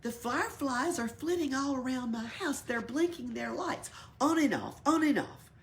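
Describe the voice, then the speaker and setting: Storybook gecko, played by a woman: male, grumpy, whiny, demanding, raised pitch, crackly creak, some noise behind. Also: expressive, normal speed. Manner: whiny, insistent complaining.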